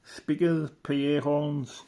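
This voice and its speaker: A man's voice: on the high side, little pitch movement, slow, vocal fry, mellow. A man giving casual, rambling show-and-tell commentary as a hobbyist, matter-of-fact and fond of tag questions. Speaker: hobbyist presenter.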